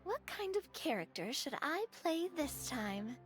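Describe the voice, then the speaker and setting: A woman's voice: high voice, sing-song, a little slow, a bit bright, faint music behind, full character voice. A woman voicing a cheerful, playful young woman who laughs often, in video game dialogue.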